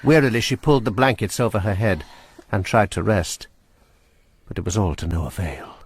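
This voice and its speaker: A man narrating a suspenseful period story in a measured, scripted way. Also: fairly low pitch, expressive, slightly rough.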